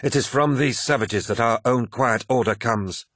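A man's voice: mid-range voice, normal speed, a bit bright, dry recording, studio quality, pitched above the performer's own voice, close to an everyday voice. A man voicing a defiant, sneering master of a warrior lodge in an audiobook, blunt and insistent.

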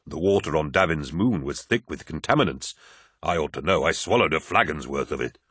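A man's voice: rumbling low, animated, brisk, fairly bright tone, breathy edge, no room sound, studio quality, heavily acted. Man acting a sober, questioning warrior captain. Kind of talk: dramatised audiobook dialogue.

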